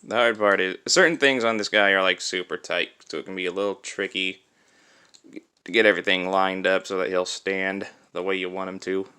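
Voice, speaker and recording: A man's voice: low-pitched, steady tone, brisk, sharp tone, smooth. A man giving a casual hands-on product review in an informal, conversational way, thinking aloud as he demonstrates. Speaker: toy reviewer and host.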